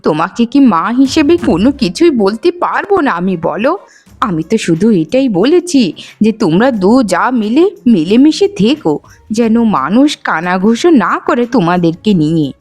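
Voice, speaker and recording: A woman's voice: mid-range voice, very animated, normal speed, a little mellow. A woman voicing an ailing, anxious old mother-in-law in a cartoon, kindly, fretful and pleading. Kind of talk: Bengali cartoon voice acting.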